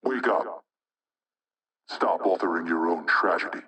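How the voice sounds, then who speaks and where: An actor playing an anonymous male hacktivist spokesman in a video game propaganda broadcast, speaking in a measured, rhetorical, insinuating way with menace. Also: low-pitched, distant mic, noisy background. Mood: mocking menace.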